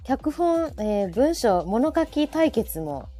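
A woman's voice: fairly high pitch, sing-song, slow, mellow, soft background music. A woman with a Kansai accent hosting a casual live chat, often chiming in with repeated little acknowledgements. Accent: Kansai Japanese. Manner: frequent repeated backchannels. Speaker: host of a live audio show.

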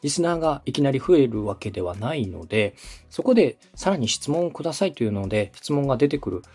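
A man's voice: mid-range voice, very animated, quick pace, a little mellow, husky, soft background music. A man from Osaka giving polite, methodical advice as a podcast host and radio director. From Osaka, Japan. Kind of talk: explanatory consulting talk.

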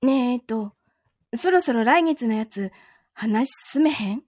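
A woman acting in a Japanese voice drama as a calm, understanding, quietly lonely girlfriend, speaking gently with a Kansai accent. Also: on the high side, unhurried, dry recording, studio quality. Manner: composed, gentle, reassuring.

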